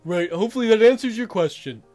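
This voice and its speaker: A man acts out a colony mayor from a video game. The official is practical, informal and a bit goofy, explaining haltingly in a high, lively voice. Music plays underneath.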